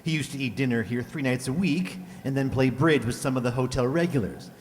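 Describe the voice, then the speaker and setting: An actor playing a polite, gossipy hotel employee in a radio play, talking in a measured, informative way. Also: male voice, mid-range voice, natural voice. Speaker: amateur radio drama actor. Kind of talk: old-time radio play dialogue. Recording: echoey hall, long reverb.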